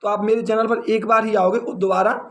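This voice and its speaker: A man's voice: high voice, flat delivery, brisk. A man with a North Indian accent from Uttar Pradesh, giving students an informal explanatory update as a coaching teacher, repeating points and asking rhetorical questions. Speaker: exam coaching teacher and channel host.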